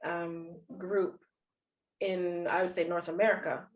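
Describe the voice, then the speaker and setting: A woman's voice: lowish voice, flat delivery, measured pace, lo-fi sound. Reflective woman interview host. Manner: reflective, frames questions at length with supportive affirmations. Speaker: podcast host. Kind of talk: interview conversation.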